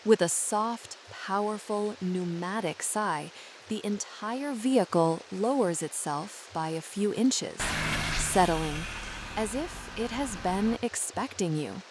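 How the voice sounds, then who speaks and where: A woman narrates a guided sleep visualization at a very unhurried pace, with a medium-pitched voice. She phrases each thought deliberately and soothingly. A faint hiss of rain runs behind her words. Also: animated.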